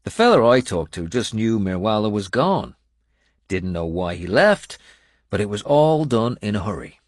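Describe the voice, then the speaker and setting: A male narrator voicing a gruff, wry warrior who talks in a blunt, earthy way. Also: fairly low pitch, sing-song, normal speed, no echo, studio quality. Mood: matter-of-fact.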